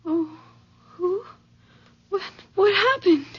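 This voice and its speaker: A woman's voice: very high voice, slow pace. An actress playing an anxious, emotional engaged young woman in a 1970s radio mystery drama, hesitant and stammering. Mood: faint, distressed. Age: young adult. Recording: muffled old radio broadcast recording.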